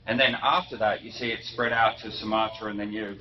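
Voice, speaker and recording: A man giving an academic lecture, unhurried, with frequent ums and self-corrections. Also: lowish voice, fairly even intonation. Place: lecture hall. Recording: muffled echoey hall recording from a distance.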